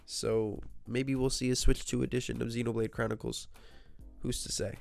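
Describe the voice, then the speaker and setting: A man's voice: medium pitch, level tone, moderate pace, gravelly, darkish tone, faint music behind. A man with a stuffy nose from a cold, chatting casually on his gaming channel in a rambling, self-mocking way with jokey asides. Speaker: gaming video host. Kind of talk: casual solo speculation to camera. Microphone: desk microphone.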